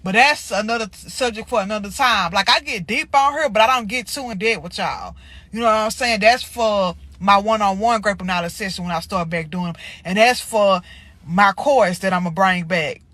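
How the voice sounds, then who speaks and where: Congested woman, bright sharp voice, cussing and calling everybody y'all, dry sound. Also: mid-range voice, moderate pace, no room sound. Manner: blunt, profane, slangy, addresses viewers as y'all. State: congested from allergies. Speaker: spiritual channel host. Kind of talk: casual spiritual talk to viewers.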